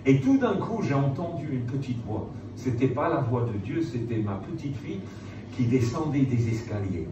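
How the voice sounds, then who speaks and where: A very reverberant, noisy recording of a middle-aged man preaching, picked up away from the microphone. He tells stories theatrically, acting out the dialogue as he goes, in a mid-pitched voice. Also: normal speed. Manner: animated, theatrical retelling with acted-out dialogue. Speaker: preacher, former pastor. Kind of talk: storytelling sermon to a live audience. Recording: very echoey room, distant mic, clear noise.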